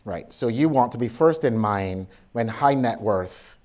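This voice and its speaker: A man's voice: medium pitch, animated, measured pace, darkish tone. Sassy Barbadian man giving a keynote. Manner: blunt, sassy, joking asides. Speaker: keynote speaker. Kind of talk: lively conference keynote with audience interaction.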